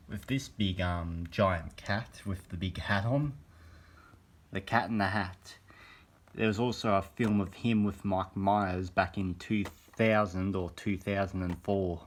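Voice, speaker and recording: A young man with a very deep voice, made croaky by a cold. He rambles along in a casual phone-camera vlog, pausing often to find his words. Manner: hesitant, meandering, many pauses. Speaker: vlogger. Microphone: handheld phone camera.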